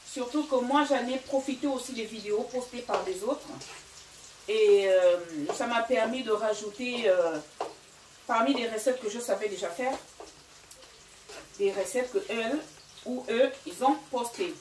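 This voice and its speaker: A woman's voice: on the high side, measured pace, a little mellow, recorded from a distance, slight background noise. Chatty woman, echoey kitchen. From Gabon and Equatorial Guinea. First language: Fang or another local language of Equatorial Guinea. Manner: chatty, hesitant, many fillers. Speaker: cooking channel host. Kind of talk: casual cooking vlog talk. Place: home kitchen.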